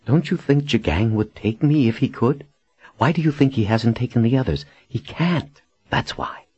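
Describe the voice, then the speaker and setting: A man's voice: medium pitch, animated, normal speed, darkish tone, slightly rough, highs cut off, pitched above the performer's own voice. A man voicing a resolute, reassuring woman leader in an audiobook, speaking firmly and earnestly. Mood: earnest insistence.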